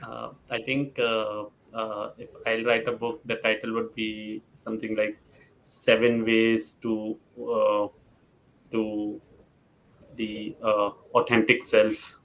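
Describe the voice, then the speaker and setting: A man speaking as a panel guest in a halting, reflective way, with faint noise behind him. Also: monotone, a bit bright, rough recording. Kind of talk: unscripted panel discussion. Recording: faint rumbling background noise.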